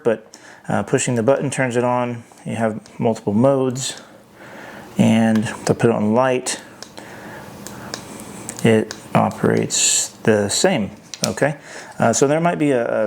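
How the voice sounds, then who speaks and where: Man reviewing gadgets with a creaky voice. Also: medium pitch, a little mellow, a little hiss or hum. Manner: halting, thinking aloud. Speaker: product reviewer. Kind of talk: unscripted hands-on product review.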